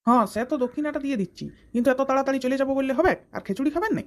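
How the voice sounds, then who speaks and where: Performer doing a company man, raised pitch, extremely quick delivery, bossy, comedy cartoon. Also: expressive. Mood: polite.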